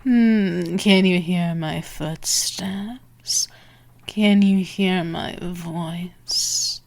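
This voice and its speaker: A woman playing a dominant, predatory female vampire in an ASMR roleplay, taunting and sultry, often slipping into breathy whispers. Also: on the low side, fairly even intonation, very slow, heavily acted. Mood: taunting, menacing. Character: dominant, teasing, predatory female vampire stalking her prey.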